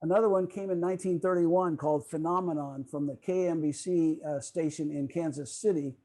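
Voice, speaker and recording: Mellow, fairly high-voiced male lecturer. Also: fairly even intonation, fairly quick. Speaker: presenter at a club meeting. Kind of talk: prepared lecture on radio history. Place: online video call.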